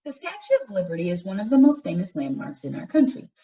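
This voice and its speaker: Mellow, expressive woman reading aloud, distant mic. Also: mid-range voice, fast talker, slight background noise. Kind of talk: educational read-aloud of a textbook for children. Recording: dull, distant microphone pickup.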